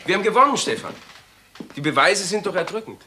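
A man acting an eager, impatient younger detective in a crime radio drama, brisk and insistent. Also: high voice, big pitch swings, noisy background.

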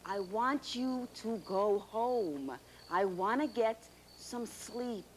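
A woman's voice: sits high, expressive, normal speed, sharp tone, rough recording. A woman playing a firm but kind, exasperated object of affection in children's TV comedy, earnest and emphatic, with some background noise. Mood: pleading.

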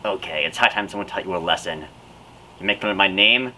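A huffy, theatrical wizard with a very deep, bright-edged voice, put on by a young man. He is petty and quick to take offence. He is recorded outdoors in a backyard, with faint ambience behind him. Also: a little hiss or hum, rough recording. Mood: vengeful. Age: young adult. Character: grumpy petty male speech-curse wizard.

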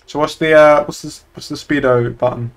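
A man's voice: fairly high pitch, fairly even intonation, moderate pace. A young man chatting casually and bluntly while streaming a game, with plenty of swearing. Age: young adult. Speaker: streamer playing a police officer in online roleplay.

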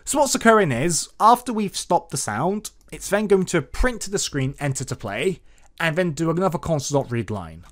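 A man with a slightly high voice presents a tutorial as a screencast voice-over. His intonation is very lively, rising and falling in an emphatic, sing-song way as he gives instructions. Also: moderate pace. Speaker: tutorial host.

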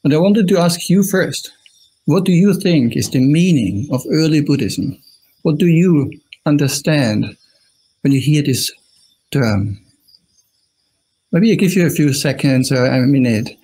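A man's voice: fairly high pitch, animated, a little slow, pauses often, dark tone, breathy edge, poor audio. A middle-aged man with an Austrian accent, a monk giving a friendly dharma talk over a video call, joking and asking his online audience questions. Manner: jokey, chuckling asides, talks to the online audience. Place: small indoor room.

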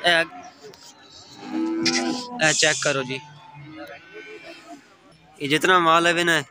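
A man, interviewing sellers at an outdoor cattle market and repeating each price back in a brisk, friendly way. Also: fairly high pitch, fairly even intonation. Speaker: livestock market vlogger. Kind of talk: casual on-the-spot interviews about prices. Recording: outdoor market with loud crowd. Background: crowd chatter.

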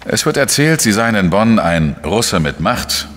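An actor playing a dry, probing investigator who narrates a crime radio drama in a measured way. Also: male voice, lowish voice, big pitch swings, fairly bright tone, a little hiss or hum. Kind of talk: scripted first-person crime narration and dialogue.

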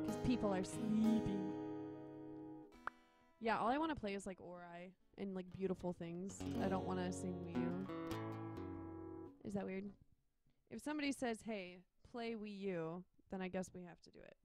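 A woman's voice: mid-range voice, normal speed, pauses often, over loud music. A young woman who sings in a band, chatting with viewers between songs in a bubbly, giggly way. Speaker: band singer hosting a livestream. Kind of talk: casual chat between songs. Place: indoor rehearsal room with drum kit. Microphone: handheld microphone.

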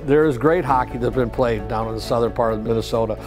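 A man's voice: medium pitch, fast talker. Earnest middle-aged man over guitar music. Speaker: hockey coach. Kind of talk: documentary interview. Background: guitar music bed.

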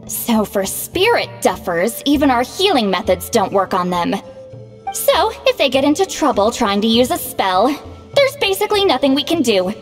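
A proud young-sounding shaman from an anime game, who explains things briskly and bossily. Her voice is fairly high and very lively, with exasperated turns. It rings in a long reverb. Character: proud, bossy, youthful-sounding female shaman called Granny. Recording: long reverb, music behind.

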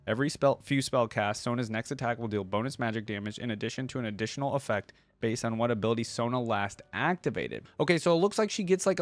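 A man's voice: mid-range voice, no echo. A young man speaking as a reaction video host, informal and explanatory, close to a desk microphone. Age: young adult. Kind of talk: casual reaction commentary with some reading aloud. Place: small room indoors at a desk.

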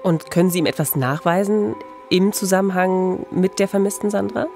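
A woman's voice: lowish voice, normal speed, darkish tone, soft background music. A woman with a German accent co-hosting a true-crime podcast, narrating the case and asking questions. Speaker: podcast co-host.

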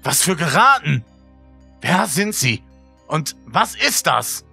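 A man's voice: fairly high pitch, sing-song, slow, vocal fry, bright, forceful tone, slightly rough, no room sound, voice pushed up, full character voice. A desperate young man, acted out by a male narrator, pleads in a raised, agitated voice. He sounds frightened and troubled, in a dry horror-story recording with faint music. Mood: agitated, pleading.

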